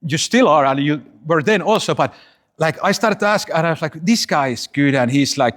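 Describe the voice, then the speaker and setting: A man's voice: on the high side, animated, a little fast, fairly bright tone. A man hosting a podcast, asking friendly, chatty questions with lots of fillers. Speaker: podcast host. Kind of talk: casual interview.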